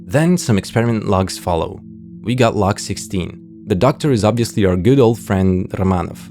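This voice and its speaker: A man hosts a scripted video essay in a low voice with noticeable creak. He is understated and wry, dropping casual asides, with faint music underneath. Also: animated, moderate pace, dark tone.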